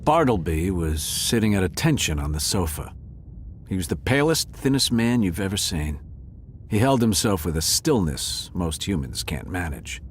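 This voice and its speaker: A man's voice: deep voice, very animated, moderate pace, a little hiss or hum. A man voicing a wry male cat who narrates a noir murder mystery audio drama with dramatic flair.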